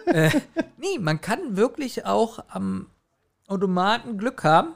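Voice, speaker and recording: Higher-voiced man telling casual anecdotes. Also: takes it slowly, vocal fry. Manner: animated storytelling, frequent chuckling. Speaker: podcast co-host. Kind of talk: casual chat, personal anecdotes.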